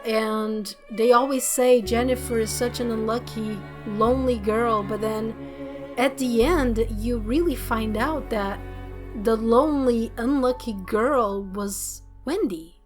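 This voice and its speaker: A woman hosting a let's play, chatting excitedly and loosely about a game, her voice a little worn from talking. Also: on the high side, takes it slowly. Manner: excited, rambling, many fillers. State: slightly losing her voice. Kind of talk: casual gameplay commentary. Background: faint game music.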